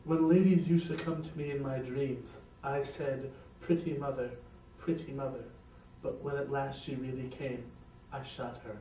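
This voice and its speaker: Woman as young storybook boy, low-pitched, plaintive, dignified, roomy distant recording with faint noise. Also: fairly even intonation, moderate pace, mellow. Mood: remorseful, sad. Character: proud then sorrowful young lost boy, dignified and slow-witted. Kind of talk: acted storybook dialogue.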